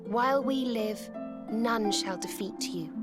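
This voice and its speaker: A woman with a British accent playing a gentle, polite young magical bard in a video game, sweet and courteous in manner. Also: fairly high pitch, takes it slowly, loud music behind the voice. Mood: warm, gentle. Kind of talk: video game character acting.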